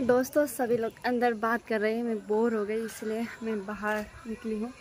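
A woman's voice: a little high, level tone, normal speed, a little hiss or hum. A young woman in her twenties vlogging casually outdoors, chatting cheerfully to her viewers, filmed on a phone at arm's length. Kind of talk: casual travel vlog. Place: outdoors on a village road.

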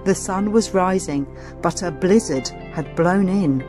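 A woman reading a children's story aloud as dramatic, expressive, suspenseful narration. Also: fairly low pitch, a little slow. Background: music.

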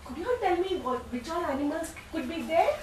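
A middle-aged woman telling a story to children in a large hall, animated and asking them questions. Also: very high-pitched, a little fast, background noise.

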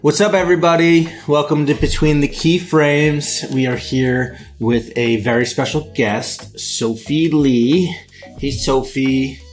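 A man co-hosting a podcast, introducing a guest in a quick, upbeat, casual way with fillers. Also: a little high, steady tone, faint music behind. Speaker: podcast co-host, former teacher. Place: home room with bookshelves.

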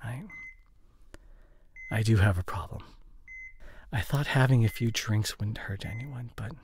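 A young man playing a remorseful drinking boyfriend in an intimate audio roleplay, speaking in a halting, apologetic, soft and pleading way. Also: fairly low pitch, a little hiss or hum, close to an everyday voice. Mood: contrite, confessing.

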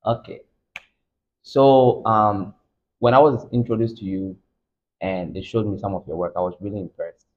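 Male interviewer, thirties, low voice, casual delivery, narrow phone-like audio. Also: fairly even intonation, moderate pace, long pauses. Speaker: interviewer, channel host. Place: furnished room, seated in armchairs. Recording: thin phone-like band-limited sound.

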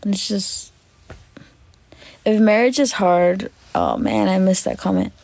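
A woman in her twenties chatting casually on a livestream, lying in bed and answering comments with lots of fillers, in a voice she calls deep. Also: huge pitch swings, slow pace, darkish tone, no echo. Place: bedroom, lying on a bed indoors. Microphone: phone at arm's length.

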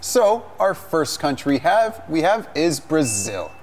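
A young man co-hosts an English lesson in a TV studio. He speaks as a native English speaker, friendly and upbeat. His pitch rises and falls widely. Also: sing-song, slow, a little hiss or hum. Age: young adult. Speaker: English teacher co-host. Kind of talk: televised language lesson. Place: TV studio set.

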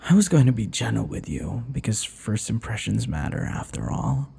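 Breathy, gravelly vampire lover acted by a man. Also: lowish voice, very animated, mellow, heavily acted. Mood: menacing, taunting. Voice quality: breathy, often dropping into whispers. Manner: taunting, slow, drawn-out endearments. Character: possessive, obsessive male vampire captor and would-be lover. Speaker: audio roleplay voice actor. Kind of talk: intimate ASMR roleplay acting.

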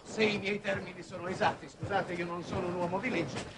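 An actor playing an idealistic, wordy head of a space commission in a 1960s Italian television drama, oratorical and halting. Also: male voice, high voice, little pitch movement, lightly acted. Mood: flustered, formal. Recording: noisy old television soundtrack.

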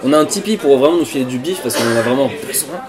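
A man's voice: medium pitch, lively intonation, very fast, music in the background. A man, co-hosting a web show in playful, slangy chat. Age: 20s. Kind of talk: casual chat and sign-off to camera. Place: diner booth, indoors.

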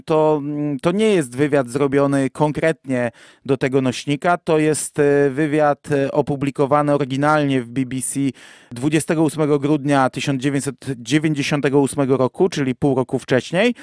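A man's voice: a little high, darkish tone, dry recording, studio quality. A man co-hosting a podcast, talking in an informal, discursive way as he reviews things. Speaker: podcast co-host. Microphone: close microphone on a remote virtual-studio call.

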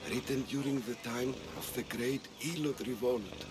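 An actor playing a feeble, fearful elderly temple priest in a video game, hesitant and reverent, speaking like an old storyteller. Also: male voice, medium pitch, moderate pace, natural voice. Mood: storytelling, opinionated. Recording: music behind the voice.

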